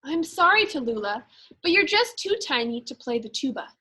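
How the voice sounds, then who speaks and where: Woman playing a kindly storybook band leader man, high-pitched, gentle, apologetic, encouraging, lively swings in pitch. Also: normal speed, heavily acted. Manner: gentle, reasoning with a small child.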